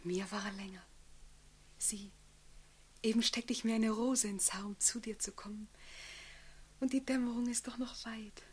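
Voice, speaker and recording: An actress in a radio drama playing a proud, imperious princess passionately in love, often dropping into an intimate whisper. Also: female voice, a little high, steady tone, moderate pace, pauses often, darkish tone, breathy edge. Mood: hushed, tender. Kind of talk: classic German radio drama acting. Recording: radio play with music behind.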